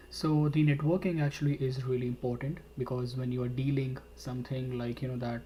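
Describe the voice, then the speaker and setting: A man's voice: medium pitch, dark tone, slight background noise. A man giving a live webinar tutorial, explaining steadily while demonstrating on screen, with frequent fillers. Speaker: instructor.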